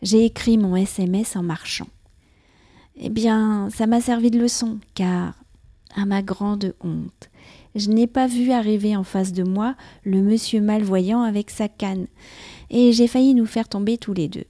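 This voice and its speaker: French woman with a mellow voice, telling a podcast story. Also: medium pitch, steady tone, measured pace, no room sound, studio quality. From France. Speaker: podcast host. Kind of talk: scripted personal narration. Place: near Paris.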